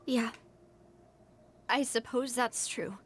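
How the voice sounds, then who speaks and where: A woman's voice: a little high, takes it slowly, a little hiss or hum. A woman voicing a proud young noblewoman, a grieving daughter in a video game, refined and restrained. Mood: wistful, reflective. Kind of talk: dramatic video game dialogue.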